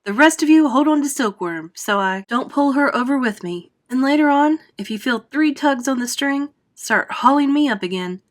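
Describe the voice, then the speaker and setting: Woman reading a plucky little boy, yelling high and fast at the others. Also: close to an everyday voice. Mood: urgent. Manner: high, urgent shouting. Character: young boy, brave and quick-thinking.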